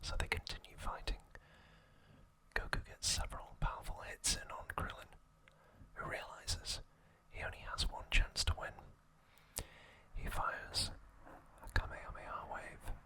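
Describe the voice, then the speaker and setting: A man whispering softly in short, measured phrases as he narrates an ASMR recap. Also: low-quality recording. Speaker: ASMR channel host.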